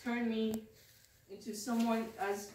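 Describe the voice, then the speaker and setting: Slow, halting teenage girl from the Philippines. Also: fairly high pitch, level tone, a little mellow, recorded from a distance. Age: teens. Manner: halting, hesitant, with many restarts. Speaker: student speaker. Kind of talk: personal testimony speech. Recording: echoey room, mic from afar, faint noise.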